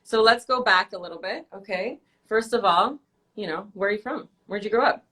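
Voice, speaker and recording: A young woman hosts a casual live-stream interview with a bright, ringing voice. She teases her guest playfully and keeps breaking into laughter. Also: mid-range voice, fairly quick. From Canada. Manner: teasing, laughs often. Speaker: host.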